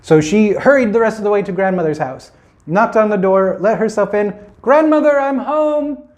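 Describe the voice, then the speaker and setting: A man's voice: high-pitched, brisk, darkish tone. A man telling a children's fairy tale informally, as a storyteller, with playful, chatty asides.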